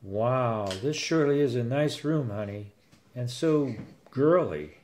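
A man's voice: mid-range voice, lively intonation, unhurried, a little mellow, a little hiss or hum. Older man telling a scripted story. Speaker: grandfather narrator. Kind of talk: scripted first-person storytelling with acted dialogue.

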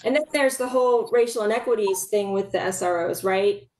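A middle-aged woman hosting a public town hall over a video call, speaking earnestly with halting fillers from a room at home. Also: mid-range voice, darkish tone. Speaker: town hall host, local supervisor. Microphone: video call webcam. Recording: echoey room, faint noise.

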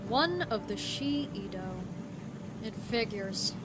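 A woman voicing a cold, sardonic bounty hunter in a comic dub, curt and deadpan. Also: a little high, slow pace, very noisy. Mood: weary, deadpan. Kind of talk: comic dub, terse noir-like narration. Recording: loud music under the voice. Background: music.

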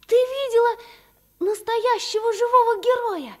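An actress playing an earnest, wide-eyed nine-year-old girl in a radio play, asking things with childlike wonder. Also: very high-pitched. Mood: curious, earnest. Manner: slow, wondering, childlike questioning. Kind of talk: Soviet radio play acting.

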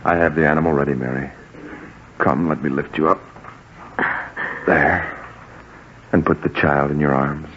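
An actor playing a protective, earnest husband and carpenter, grave and sincere in 1940s radio drama style. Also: male voice, extremely low pitch, steady tone, moderate pace, pauses often, background noise. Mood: tender. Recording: muffled 1940s radio with music.

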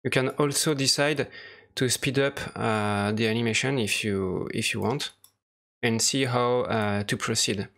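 Guy with a creaky voice walking thru software, kinda halting, mid pitch. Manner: hesitant, halting explanation. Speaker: software tutorial presenter. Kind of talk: unscripted screen-recorded tutorial narration.

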